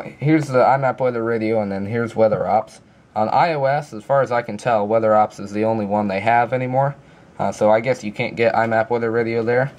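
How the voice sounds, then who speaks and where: A man's voice: mid-range voice, darkish tone. A man giving a casual, informal review walkthrough in a plain-spoken explaining manner. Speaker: app reviewer.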